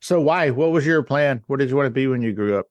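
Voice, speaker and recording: A man's voice: medium pitch, fast talker, no echo. A man hosting a podcast interview, casual and teasing in manner. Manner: joking, teasing, laid-back. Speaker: podcast host.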